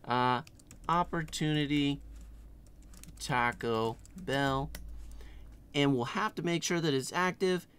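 A middle-aged man hosting a casual screen-share software tutorial in a tongue-in-cheek, playfully exaggerated way. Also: fairly high pitch, clear, resonant tone. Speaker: tutorial host.

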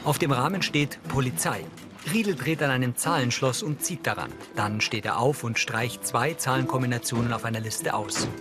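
A man narrates a TV series as audio description. His delivery is neutral and factual, at an average male pitch. Music is clearly audible beneath his voice. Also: moderate pace. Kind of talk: scripted scene narration, present tense.

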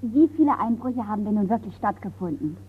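A woman's voice: medium pitch, expressive, a little fast, breathy edge. An actress playing a curious, eager young woman in a radio crime drama. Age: young adult. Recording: muffled phone-like radio recording.

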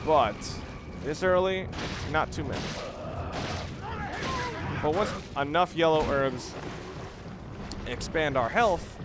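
A man giving casual let's-play commentary as he plays, rambling and halting, often repeating and rephrasing himself. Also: a little high. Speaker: gaming video host, player. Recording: clear background noise under voice.

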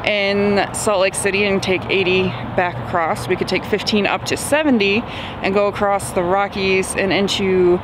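A woman's voice: mid-range voice, fairly even intonation, moderate pace, background noise. A woman in her thirties, a truck driver, chatting casually and cheerfully to a handheld camera outdoors. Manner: chatty, rambling, upbeat. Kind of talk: casual vlog chat. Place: outdoors by a truck in open dry field. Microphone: phone camera held close.

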